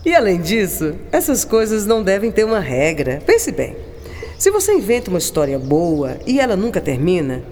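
A woman playing a gentle, philosophical writer in a radio drama, talking in a musing, affectionate and expressive manner. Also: mid-range voice, normal speed. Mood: reflective. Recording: long reverb, clear background noise.

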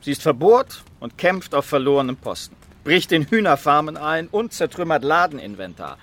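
An actor playing a smug, well-off environmental activist in a radio drama, talking in condescending rhetorical flourishes. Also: male voice, a little high, very animated, moderate pace, fairly bright tone. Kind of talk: naturalistic radio drama dialogue.

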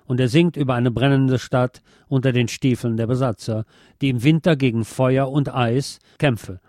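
Mellow-voiced man reading scripted commentary. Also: medium pitch, steady tone, moderate pace, dry recording, studio quality. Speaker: radio presenter reading a commentary. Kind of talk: scripted political and music commentary.

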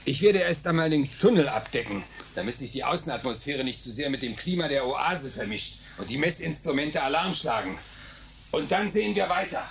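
Brisk programmer, very animated pitch. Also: male voice, creaky, a little hiss or hum. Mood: dry, skeptical. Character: Isaac, cautious, skeptical male programmer, excitable explorer. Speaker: radio drama actor. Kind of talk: German science fiction radio play acting.

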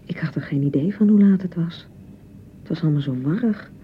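A woman acting a touchy, nervous young bride in a radio drama, with a Netherlands Dutch accent and a slightly low voice. She rambles and keeps correcting herself. The sound is dull, with some rumbling noise. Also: brisk, close to an everyday voice. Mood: nervous, flustered. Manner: hesitant, rambling, self-correcting. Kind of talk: naturalistic radio play dialogue.

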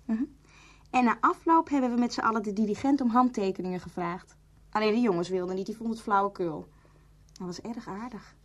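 Husky-voiced, earnest schoolgirl acted by a woman. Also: medium pitch, brisk, close to an everyday voice. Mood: earnest, confiding. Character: earnest, ambitious schoolgirl pianist, lonely and direct. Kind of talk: Dutch radio drama acting.

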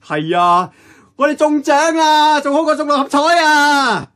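A man's voice: very high-pitched, animated, unhurried, a bit bright, heavily acted. Man acting an eager, impatient young Hong Kong nephew. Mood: excited. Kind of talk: radio drama acting. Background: plucked guitar music.

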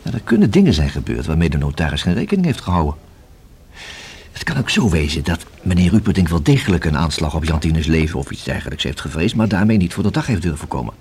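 An actor in a radio play as a methodical police inspector who reasons and questions in a formal, measured, deliberate way. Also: male voice, low voice, big pitch swings, a little mellow. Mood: pensive, speculating. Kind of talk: radio drama acting. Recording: hissy old radio play recording.

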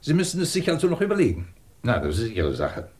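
Imperious professor with animated, swooping delivery. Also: male voice, medium pitch, fast talker. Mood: brisk, superior. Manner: commanding, clipped, probing, sardonic. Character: imperious, sardonic British physics professor and department chief. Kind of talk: 1950s German radio drama acting.

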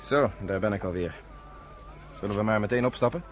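An actor playing an earnest, self-assured young man of the underground in a Dutch radio play, with a measured way of explaining things. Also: lowish voice, noisy background, close to an everyday voice. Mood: brisk.

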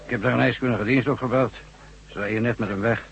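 A man's voice: mid-range voice, fairly even intonation, fast, creaky, lightly acted. A man acting a worried, matter-of-fact construction boss in a science fiction radio drama. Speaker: actor in a radio play. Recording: dull, noisy old radio recording.

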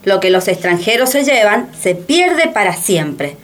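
A woman's voice: mid-range voice, big pitch swings, measured pace. A woman playing an impassioned, well-informed denouncer in radio drama dialogue, emphatic and rhetorical. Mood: indignant.

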